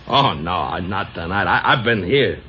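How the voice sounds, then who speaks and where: Gentle punch-drunk boxer, American, low, stammering, childlike; muffled vintage radio drama. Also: male voice, expressive, moderate pace, slightly rough, full character voice. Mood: confused, anxious. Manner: stammering, repeating words, childlike and pleading. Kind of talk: old-time American radio drama acting. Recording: dull, noisy old radio recording.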